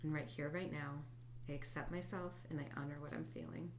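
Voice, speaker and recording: A woman with a low, congested voice talks gently through a self-help tapping routine, thinking out loud and sometimes sinking into quiet, whispered repetitions. Her nose sounds stuffed up from a head cold. A faint hum sits under the recording. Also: fairly even intonation, fairly quick. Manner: soft, reflective, drifts into quiet whispered tapping phrases. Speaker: host and EFT tapping coach. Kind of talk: guided tapping session, spoken aloud.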